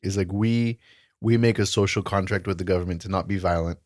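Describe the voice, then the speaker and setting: A man's voice: deep voice, fairly even intonation, a little fast, no echo, studio quality. A man co-hosting a podcast in casual discussion, explaining things through examples and analogies. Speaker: podcast co-host.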